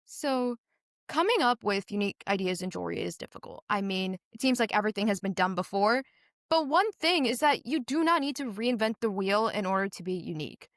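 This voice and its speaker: A woman's voice: medium pitch, expressive, fairly quick, fairly bright tone, dry recording, clean studio sound. A teenage girl from Singapore giving earnest, conversational interview answers as a young business founder, telling stories animatedly with a lot of "like". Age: teens.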